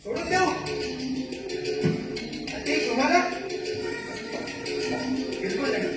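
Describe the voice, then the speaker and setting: A man's voice: extremely high pitch, animated, takes it slowly, over loud music, full character voice. A man acting a fierce, vengeful jungle animal in a stage play, declaiming accusations and rhetorical questions. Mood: outraged. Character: vengeful, fierce jungle animal who wants captive humans killed. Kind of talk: Marathi one-act stage play acting. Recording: echoey hall from far off, noisy.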